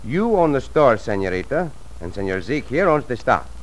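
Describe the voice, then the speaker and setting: An actor playing a calm, confident adventurer with courtly, formal speech in an old-time radio western. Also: male voice, medium pitch, moderate pace, lightly acted. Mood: courteous. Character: gallant, calm, confident Mexican adventurer hero. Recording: slightly noisy vintage radio recording.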